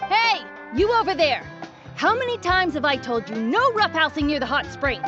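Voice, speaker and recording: A woman voicing a bossy, energetic ex-warrior auntie who guards hot springs in an anime game, stern and emphatic. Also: sits high, moderate pace. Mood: scolding. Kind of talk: anime video game voice acting. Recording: muffled game audio under music.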